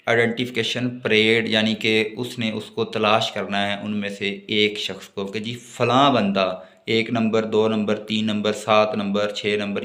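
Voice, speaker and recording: A man's voice: fairly low pitch, a bit bright. A man with a Pakistani accent giving a law lecture, reading notes aloud and explaining them in a didactic way.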